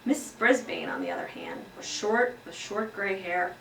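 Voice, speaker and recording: A woman voicing a small, earnest pet hamster who tells his classroom story with expressive, emphatic delivery. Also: medium pitch, unhurried. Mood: earnest, content. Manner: expressive, exclaiming, emphatic read-aloud delivery. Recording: roomy echo, distant mic, faint noise.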